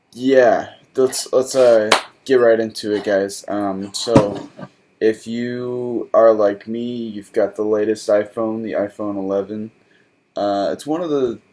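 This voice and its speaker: A man giving a casual tutorial in a hesitant, rambling, halting way. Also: lowish voice, a little mellow. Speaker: tutorial host. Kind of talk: casual tutorial talk to camera.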